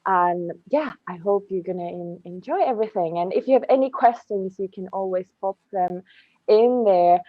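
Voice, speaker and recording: A woman's voice: mid-range voice, moderate pace, no room sound, phone-quality sound. A woman hosting a casual podcast interview, speaking in an enthusiastic, rambling way. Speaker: podcast host.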